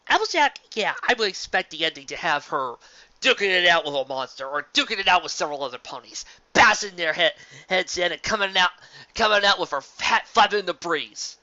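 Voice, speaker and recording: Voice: mid-range voice, lively intonation, brisk, pushed and bright, dry recording. A person reading and discussing fan fiction in an emphatic, informal, dramatic way. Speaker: fan fiction reader and reviewer. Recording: dull lo-fi sound.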